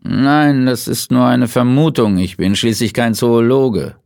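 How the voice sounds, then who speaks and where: A man voicing a weary, laid-back male forensic pathologist who muses out loud in a relaxed way. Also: mid-range voice, measured pace, creaky, dry recording, clean studio sound, pitched above the performer's own voice. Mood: musing.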